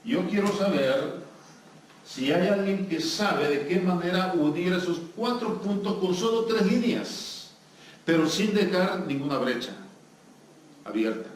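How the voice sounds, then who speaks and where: A man's voice: high-pitched, animated, unhurried. A man with a Salvadoran accent speaking as a guest pastor to a congregation, engaging listeners with questions in a joking manner. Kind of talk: sermon to a live congregation, interactive. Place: church hall with congregation. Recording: echoey hall, distant mic, noisy.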